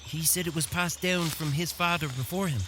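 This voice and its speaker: A man's voice: a little high, moderate pace, noisy background, close to an everyday voice. A man playing a polite, earnest and slightly hesitant village boy in a fantasy audio drama.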